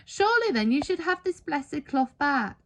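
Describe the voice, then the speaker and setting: Storybook part, read by a woman: kindly clergyman, earnest and welcoming, animated intonation. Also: sits high, normal speed, pitched above the performer's own voice. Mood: astonished, earnest. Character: kindly male church minister, earnest and welcoming.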